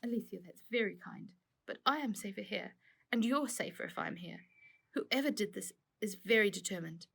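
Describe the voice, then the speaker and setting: A man's voice: sits high, animated, normal speed, vocal fry, pushed and bright, breathy edge, full character voice. A woman voicing a troubled, kindly uncle, a factory owner on the run, in an earnest storybook reading. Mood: wary, grave. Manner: earnest, troubled, exclaiming in surprise.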